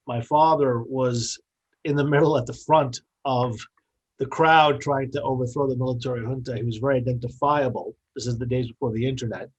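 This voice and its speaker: A middle-aged or older man from New York telling his story as an interview guest, in a measured, articulate, explanatory way. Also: mid-range voice, muffled recording. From born in the Dominican Republic, grew up in New York.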